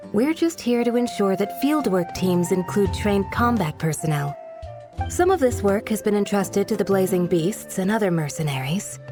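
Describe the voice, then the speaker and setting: A woman playing a composed, responsible guard and coordinator in a video game, speaking in a measured, polite, gently chiding manner. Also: medium pitch, lightly acted.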